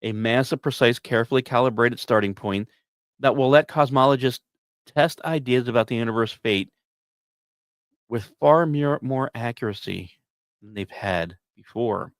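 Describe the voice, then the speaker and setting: A male science podcast host with an average-pitched voice reads semi-scripted commentary. He slips in wry asides and sometimes trips over or repeats a word. The sound is dry, with very little room in it. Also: moderate pace, no room sound.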